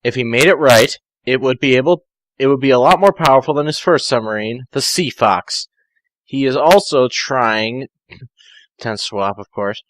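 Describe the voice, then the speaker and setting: A man's voice: mid-range voice, normal speed, a bit bright, no echo, low-quality recording. A man reading amateur fan fiction aloud, breaking in with dry, sarcastic asides.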